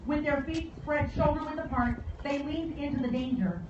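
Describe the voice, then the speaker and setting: A woman delivering a formal commemorative speech as guest speaker at a memorial service, in a solemn, measured manner with deliberate phrasing. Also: sits high. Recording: echoey, distant, dull and very noisy.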